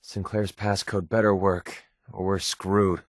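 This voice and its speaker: An actor playing a guarded, wounded mercenary in a video game, sarcastic and quick-tempered but loyal, speaking in a plain, earnest, edgy way. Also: male voice, low voice, flat delivery, no room sound, studio quality. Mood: wry, relieved. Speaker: companion character in a video game. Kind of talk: naturalistic video game voice acting.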